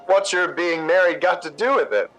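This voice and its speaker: A man's voice: high voice, moderate pace, fairly bright tone, low-quality recording. A man playing a plain-spoken husband from a theatre circle in a radio drama. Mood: puzzled. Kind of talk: recreated 1950s radio drama acting.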